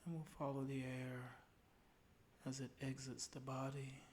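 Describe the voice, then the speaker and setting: A man leading a guided meditation in a calm, soothing, unhurried way, with soft, breathy phrase endings. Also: medium pitch, a little hiss or hum.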